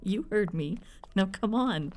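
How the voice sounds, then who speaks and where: A woman acts as a middle-aged matriarch in an audio roleplay. Her voice is warm and dark at a medium pitch. She is commanding and playfully haughty, doting on family while ordering them about. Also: animated, measured pace, slight background noise, close to an everyday voice. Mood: bossy, doting. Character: bossy, doting, wry mother and grandmother-to-be.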